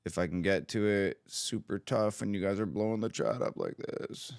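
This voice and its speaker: A man hosting his own livestream, a musician chatting casually with viewers, upbeat and hyped, his voice a little raspy from screaming the night before. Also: lowish voice, expressive, normal speed, a little mellow, no room sound, studio quality. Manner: upbeat, hyped, talks to the chat.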